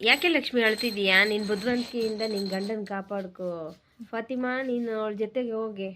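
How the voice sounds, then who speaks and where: A woman playing a calm elder sister figure who gives advice. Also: medium pitch, a little fast, noisy background, close to an everyday voice. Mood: advising. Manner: calm, advising.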